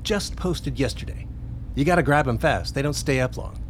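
A man's voice: medium pitch, moderate pace, slight background noise, lightly acted. A man playing a cocky, wisecracking hacker in a radio play, with slangy, flippant delivery. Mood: eager, cocky. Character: cocky wisecracking male hacker showing off his finds.

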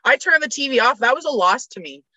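A woman's voice: high-pitched, expressive, quick pace, sharp tone, no echo. A woman guest on a sports podcast, chatting casually with blunt opinions and frequent laughter. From Canada.

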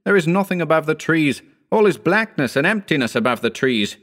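A man voicing a frightened man who urgently shouts denials and pleads. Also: on the high side, sing-song, brisk, pitched above the performer's own voice. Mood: desperate.

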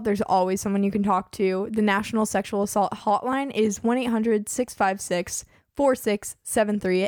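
A woman's voice: medium pitch, a little mellow, no room sound. Young woman podcast host, kinda losing her voice, says like a lot, dry close sound. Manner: chatty, peppers speech with "like". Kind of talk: casual true-crime podcast chat.

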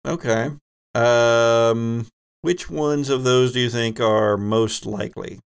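Male game master, medium pitch, improvised storytelling with a halting, searching delivery. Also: steady tone, vocal fry, clean tone. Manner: halting, pauses mid-sentence to find words. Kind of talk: improvised tabletop game narration.

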